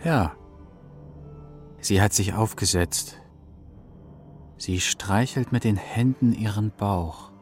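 An actor voicing a solemn eagle spirit who reports like a seer in slow, sparse statements in a children's radio drama. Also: male voice, fairly low pitch, faint music behind. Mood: solemn, calm.

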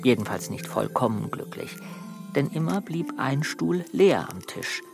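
A man narrating a children's radio play, telling the story in a measured way with dramatic emphasis. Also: medium pitch, very animated, gravelly. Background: music.

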